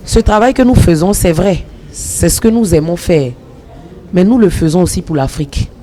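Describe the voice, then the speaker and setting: A woman actress and comedian from the Republic of the Congo answering interview questions in an earnest, measured way. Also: lowish voice, dark tone, a little hiss or hum. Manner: earnest, measured, speaks about ambition with conviction.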